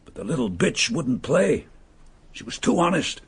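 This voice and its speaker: An actor playing a bitter, gloating murderer and impostor in a radio drama, sneering and rough-voiced. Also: male voice, medium pitch, sing-song, moderate pace, heavily acted. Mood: menacing. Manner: sneering, swinging from chuckles to hushed menace.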